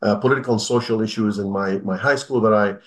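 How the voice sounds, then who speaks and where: A middle-aged man from northern Israel whose first language is Arabic, a human rights lawyer answering interview questions over a video call in a measured way, with many fillers and restarts. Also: lowish voice, fairly even intonation. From Haifa, northern Israel. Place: indoor room at home. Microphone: video call webcam.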